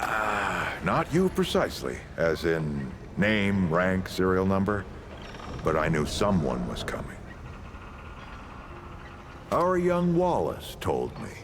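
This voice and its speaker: An actor playing an old, folksy, world-weary leader and storyteller in a video game, with a gravelly voice and unhurried delivery. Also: male voice, sits low, heavily acted. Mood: wry, welcoming. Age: older. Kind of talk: video game character dialogue.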